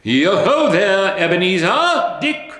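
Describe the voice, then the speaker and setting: A jolly old merchant voiced by a man calls out loud and hearty, his voice pushed high and merry. The lines ring with cheer. The room gives a roomy echo. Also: sing-song, takes it slowly, exaggerated voice. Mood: jovial.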